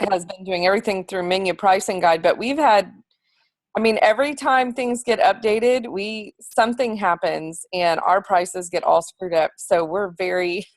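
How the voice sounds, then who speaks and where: A woman's voice: medium pitch, no room sound. A woman speaking frankly and casually as a participant in a work call discussion. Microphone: conference call.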